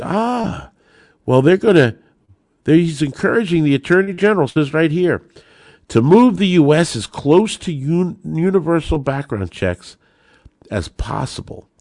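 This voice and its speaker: A man delivers opinionated commentary as a podcast host, his pitch swinging widely as he drives points home. He sounds indignant and insistent, asking rhetorical questions and repeating key phrases. The sound is dry and close, with very little room in it. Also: no echo. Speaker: host of a gun-rights podcast. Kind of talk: opinionated political commentary, addressed straight to listeners.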